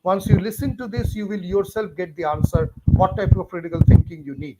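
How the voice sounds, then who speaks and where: Indian English male teacher, fairly high-pitched, emphatic and repetitive, noisy live-stream audio. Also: fairly quick. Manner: emphatic, repetitive, talks straight to viewers by name. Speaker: physics teacher hosting a live class.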